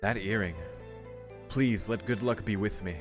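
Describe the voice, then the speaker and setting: A man with a lowish voice reads a visual novel aloud as a let's play host, dropping casual comments. Soft game music sits behind him. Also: a little slow. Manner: casual, reacting. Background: faint game music.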